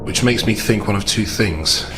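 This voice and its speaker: A man playing a calm, analytical gentleman investigator in a tabletop game, reasoning aloud with deliberate understatement. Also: lowish voice, music in the background, close to an everyday voice. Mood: measured, grave.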